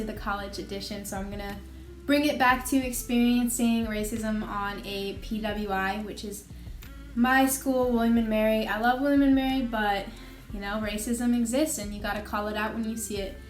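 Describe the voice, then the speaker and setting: A woman's voice: mid-range voice, fairly even intonation, moderate pace. A young woman hosting a casual vlog, chatty and bubbly, talking at home. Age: young adult. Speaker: host. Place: home room. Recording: echoey room with faint music.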